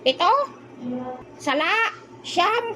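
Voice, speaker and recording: A woman's voice: extremely high pitch, lively intonation, very slow, very noisy, exaggerated voice. A woman voicing a silly, cheeky young boy in a comic audio drama, playful and full of questioning little interjections. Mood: mock earnest. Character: silly boy joker Noy Noy, cheeky and simple.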